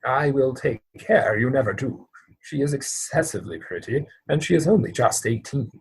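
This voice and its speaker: A man acting an exasperated, earnest gentleman in a Victorian stage comedy, with formal, mannered phrasing, recorded at home over a video call. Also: mid-range voice, animated, normal speed, husky, rough recording. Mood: confident. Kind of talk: stage comedy dramatic reading.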